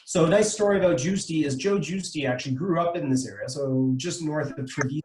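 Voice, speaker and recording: A man's voice: a little high, fast, noisy background. A middle-aged man with a Canadian accent gives an informal, explanatory presentation as a wine importer, speaking conversationally with some hesitation over a video call. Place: indoor room, online video meeting. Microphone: video call microphone. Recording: echoey room on a video call.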